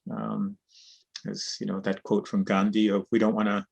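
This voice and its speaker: Guest, 60s man from Cuba, low gravelly voice, reflective and earnest. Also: completely flat delivery, normal speed, a little mellow, muffled recording. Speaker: guest, cooperative organizer and trainer. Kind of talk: conversational interview.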